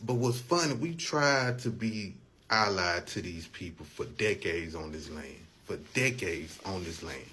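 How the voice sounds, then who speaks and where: An American man with a lowish voice rants in a colloquial, impassioned way. He leans on repetition and rhetorical questions for emphasis. Faint ambient noise sits behind him. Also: animated, a little slow, pushed and bright. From United States. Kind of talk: impassioned informal social media rant.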